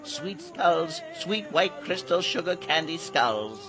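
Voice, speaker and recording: Slow, sing-song candy skull peddler. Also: male voice, fairly high pitch, very animated, heavily acted. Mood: beckoning, hawking. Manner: incantatory, sing-song, drawn-out delivery. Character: sly theatrical candy skull peddler, gleefully ghoulish. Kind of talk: theatrical radio drama acting. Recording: loud music behind the voice. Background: music.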